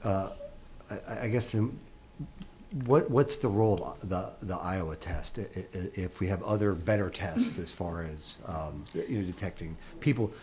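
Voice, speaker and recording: A man on a school board asking halting questions, with many restarts and fillers. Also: low voice, a little mellow, a little hiss or hum.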